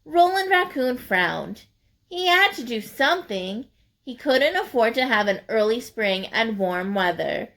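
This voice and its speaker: A woman's voice: on the high side, lively intonation, measured pace, creaky, sharp tone. A woman reading a picture book aloud like a teacher, in a sing-song, explaining way for young listeners.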